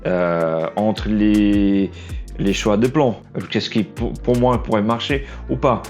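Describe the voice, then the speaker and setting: A man's voice: on the low side, steady tone, darkish tone, soft background music. A young man with a Portuguese accent, French not his first language, talking off the cuff in a casual vlog. He stumbles and restarts often, and his voice is getting worn. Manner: halting, stumbles and restarts often, self-deprecating. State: losing his voice. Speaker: aspiring trailer editor, vlog host. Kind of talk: unscripted casual vlog monologue.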